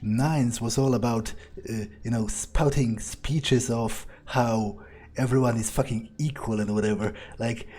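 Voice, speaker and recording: A man's voice: mid-range voice, steady tone, a little slow, warm tone, lightly acted. A man role-playing a cynical, arrogant vampire in a game playthrough, musing aloud in a casual, hesitant way. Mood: dismissive, musing. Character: cynical, arrogant male vampire thinking aloud, coolly skeptical. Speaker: let's player role-playing in character. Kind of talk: in-character game playthrough commentary.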